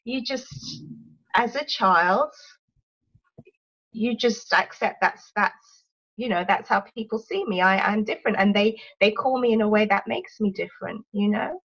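A soft-spoken woman with an English accent, talking thoughtfully as an interview guest, with many "you know" fillers. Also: mid-range voice, unhurried, slightly rough, muffled recording. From United Kingdom. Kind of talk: reflective interview talk.

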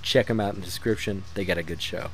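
A man's voice: sits low, fairly even intonation, fast, poor audio. A man speaking as a show's creator, giving casual closing credits in an eager, self-deprecating way. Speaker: creator, writer and director.

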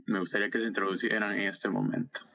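A man's voice: lowish voice, little pitch movement, fast, sharp tone, muffled recording. A man co-hosting a faith community podcast, talking casually and explaining ideas with rhetorical questions. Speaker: podcast co-host.

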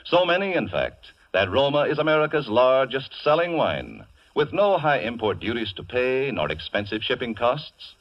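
Animated 1940s radio commercial announcer, a man. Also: mid-range voice, normal speed, few pauses, no room sound. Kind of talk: 1940s radio advertising narration. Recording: muffled vintage radio broadcast.

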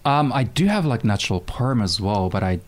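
A Japanese musician speaking English as a guest, hesitant and pausing often with ums as he searches for words. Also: medium pitch, big pitch swings. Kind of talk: casual interview.

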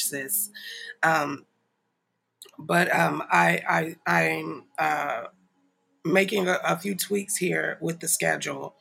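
A man's voice: on the high side, creaky, sharp tone, breathy edge. A man with a congested, nasal voice talks casually and informally to followers as the host of an online prayer ministry livestream. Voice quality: nasal, stuffy. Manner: informal, apologetic, chuckling asides. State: congested from a stuffy nose.

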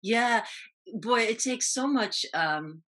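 A woman from New York with an American accent, speaking reflectively as an interview guest in quick, clipped phrases. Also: on the high side, animated, a bit bright. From New York, United States. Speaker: guest, actress recalling TV roles.

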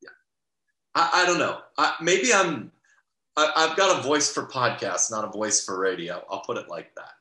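Young male podcast co-host, rambling sports chatter, bright tone, echoey room. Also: medium pitch, normal speed. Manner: rambling, lots of restarts and fillers. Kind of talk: casual sports podcast chat.

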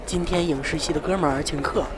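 A man's voice: fairly high pitch, fairly even intonation, quick pace, a little hiss or hum, close to an everyday voice. A man playing a brash, chummy young student roommate in an audio drama, talking in slang. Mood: breezy. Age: young adult. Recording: loud music and crowd bed.

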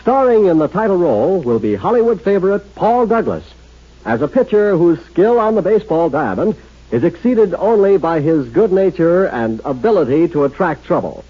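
High-pitched, lively radio announcer man. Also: moderate pace, breathy edge. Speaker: network announcer. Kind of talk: 1950s radio promo announcing. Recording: dull 1950s radio recording.

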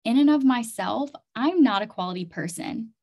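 A woman's voice: a little high, animated, moderate pace, a little mellow. Earnest woman telling her personal story. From Chiang Mai, Thailand and North Dakota. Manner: earnest, emphatic, uses "like" and "literally" often. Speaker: interview guest, community development leader and leadership coach.

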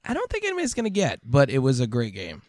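A man's voice: mid-range voice, wildly swooping pitch, quick pace. A man hosting a podcast quiz in a casual, chatty, teasing way, running the game as he banters. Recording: video game music playing under voice. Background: video game music.

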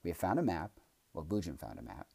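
A man's voice: low voice, big pitch swings, fast talker, vocal fry, darkish tone, slightly rough, full character voice. A man voicing a thoughtful young female tree who speaks in earnest, formal, wordy sentences.

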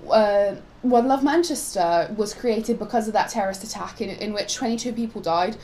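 A woman's voice: medium pitch, moderate pace, dark tone, low-quality recording. A young woman from Poland in a casual, opinionated discussion of current affairs, talking informally and rambling a little. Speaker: co-host. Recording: noticeable background noise.

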